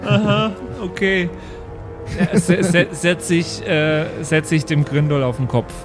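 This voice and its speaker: A man's voice: fairly high pitch, steady tone, takes it slowly. Man playing a tabletop game over music. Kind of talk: casual improvised game talk. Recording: prominent music behind the voice.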